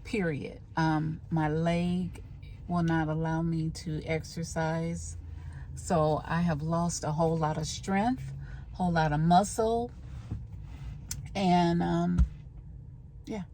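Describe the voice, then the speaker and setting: A woman's voice: sits low, fairly even intonation, slow pace, long pauses, warm tone, a little hiss or hum. A woman vlogger chatting casually to the camera in a rambling, scattered way, recorded on a handheld phone.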